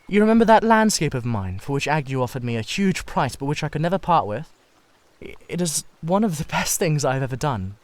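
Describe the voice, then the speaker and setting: A man's voice: fairly high pitch, no echo. A man voicing an intense, idolising painter who speaks in an earnest, confessional way for a dramatised storybook reading. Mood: passionate outburst. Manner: fervent, halting, confessional. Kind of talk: dramatised literary audiobook reading.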